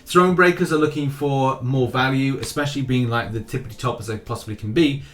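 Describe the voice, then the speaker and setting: A man's voice: medium pitch, fast talker, a bit bright. A man hosting a gaming news video with casual commentary, rambling a little and repeating phrases for emphasis. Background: background music.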